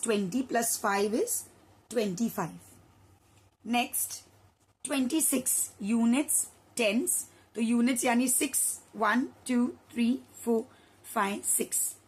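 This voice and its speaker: A young woman teaching a children's maths lesson, her voice hoarse, counting slowly aloud and asking the children questions. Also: on the high side. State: hoarse from shouting at school.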